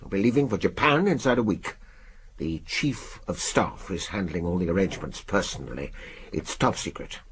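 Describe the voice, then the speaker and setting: An English actor in a radio drama playing a brusque older spy chief, clipped, gruff and commanding. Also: male voice, sits low, expressive, normal speed, a little hiss or hum. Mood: brisk, confidential.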